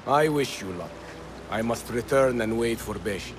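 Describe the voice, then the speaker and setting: An actor playing a fervent rebel leader rallying freed slaves in a dramatic video game. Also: male voice, medium pitch, expressive, normal speed, background noise, lightly acted. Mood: grave, resolute.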